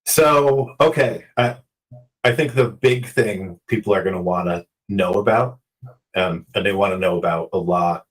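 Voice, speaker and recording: A man's voice: low voice, distant mic, low-quality recording. A man hosting a casual chat, joking and upbeat as he asks questions. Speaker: host.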